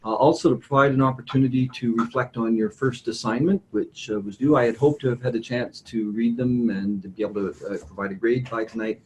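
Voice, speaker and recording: A middle-aged man teaching informally in a classroom, speaking hesitantly with pauses and self-corrections. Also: mid-range voice, steady tone, darkish tone, poor audio. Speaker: university instructor. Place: classroom with online participants. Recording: faint background room noise.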